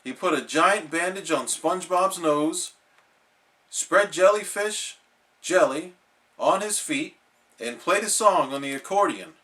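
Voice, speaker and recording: A man's voice: a little high, animated, unhurried, pushed and bright. A man reading a children's storybook aloud as a bedtime storyteller.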